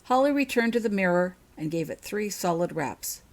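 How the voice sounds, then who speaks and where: A woman's voice: mid-range voice, lively intonation, normal speed. A woman reading her own fiction aloud as an audiobook-style story narration.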